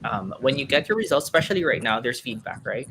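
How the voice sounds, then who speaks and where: A man with a slightly high voice answers viewers' questions in a live online session. He explains patiently and chattily, filling his pauses with "uh" and "you know". A steady hiss runs under the stream. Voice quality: fairly high-pitched for a man. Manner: explanatory, friendly, thinks aloud with many fillers. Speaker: PTE speaking coach. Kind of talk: live webinar Q&A.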